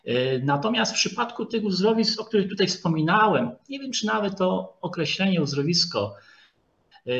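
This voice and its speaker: A man giving an informal history lecture, speaking haltingly and repeating words as he goes. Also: fairly high pitch, fairly bright tone. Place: online video call presentation with screen share. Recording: dull, lo-fi online call audio.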